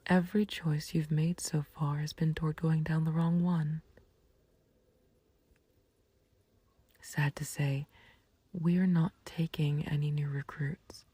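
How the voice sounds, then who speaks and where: Breathy, hushed villainess, acted by a woman. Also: low voice, exaggerated voice. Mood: smug taunting. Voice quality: breathy, hushed near-whisper. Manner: unhurried, condescending, mock-sympathetic taunting. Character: calm, taunting female villain, menacing yet fond of a friend. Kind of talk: scripted roleplay audio acting, intimate ASMR.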